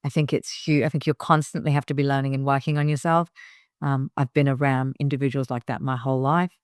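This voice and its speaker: Emphatic woman with a smooth, dry voice. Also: a bass voice, level tone, fast, no room sound. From Hong Kong. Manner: direct, emphatic advice with many fillers and restarts. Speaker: business founder, guest. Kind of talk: casual interview talk.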